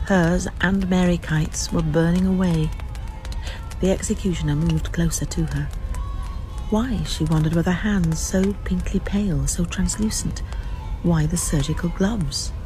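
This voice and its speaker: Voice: medium pitch, mellow, poor audio. A narrator telling a radio drama in scripted, dramatic storytelling style.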